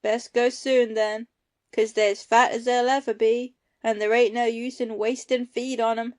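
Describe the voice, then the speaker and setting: A woman's voice: on the high side, flat delivery, normal speed, darkish tone, lightly acted. A woman playing an ailing, easygoing farmer husband in an amateur audio play, using folksy rustic phrasing. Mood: matter-of-fact.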